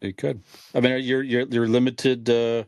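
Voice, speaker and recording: A man's voice: medium pitch, little pitch movement, measured pace, a little mellow, dry recording. A man co-hosting a podcast, chatting casually and easygoing, often repeating a phrase for emphasis. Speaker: podcast co-host.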